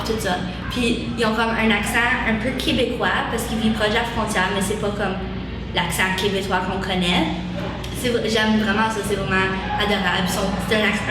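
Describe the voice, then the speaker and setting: A woman's voice: medium pitch, recorded from a distance. A woman from Ontario chatting loosely with fillers. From Hawkesbury area, Ontario. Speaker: student interviewee. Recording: echoey room from off-mic, noise.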